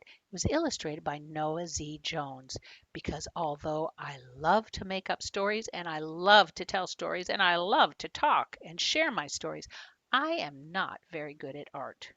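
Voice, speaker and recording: An older woman reading a picture book aloud to children, talking to them directly with questions and expressive storytelling. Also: on the low side, dry recording, muffled recording. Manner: addresses young listeners directly with questions.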